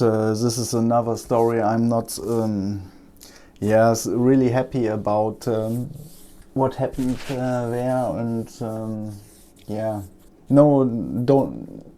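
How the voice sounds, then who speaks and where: A man's voice: fairly low pitch, warm tone. A German man with a German accent, talking casually through a laptop repair, with frequent ums and uhs as he works at his bench. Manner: halting, many ums and uhs. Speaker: repair technician. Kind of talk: casual repair vlog talk.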